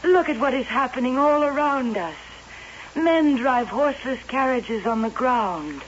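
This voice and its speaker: An actress playing a beguiling wax-doll princess come to life in an old-time radio drama, measured and coaxing. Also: female voice, high-pitched, expressive. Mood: coaxing, urging. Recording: muffled, hissy old radio recording.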